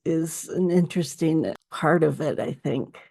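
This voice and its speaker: A woman's voice: low-pitched, measured pace, vocal fry, dark tone. A woman hosting a casual interview chat as the interviewer. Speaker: podcast host, interviewer.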